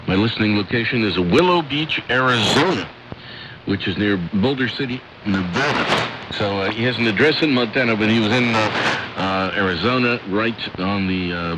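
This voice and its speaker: An American man hosting a shortwave radio programme, reading listener letters and announcements with clear enunciation. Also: lowish voice, noisy background. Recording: shortwave radio broadcast with music.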